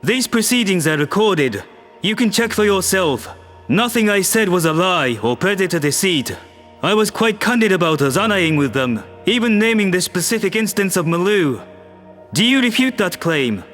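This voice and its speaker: A composed male world leader lays out his case in a measured, persuasive manner, as a man reads him in an audio drama. His voice sits fairly high, and faint music plays underneath. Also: sing-song, a bit bright. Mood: firm. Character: calm firm human male world leader.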